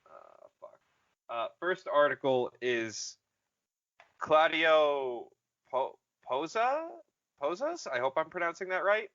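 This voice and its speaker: A man co-hosting a casual comedic podcast, joking, swearing and halting as he goes. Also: fairly high pitch, very animated, fairly bright tone, dry recording, lo-fi sound. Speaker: podcast host.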